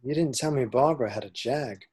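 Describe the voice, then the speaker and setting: A man's voice: mid-range voice, a little fast, a little mellow, slightly rough, close to an everyday voice. A man reading a laid-back, crude young slacker sidekick in an amateur film script table read. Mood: casual.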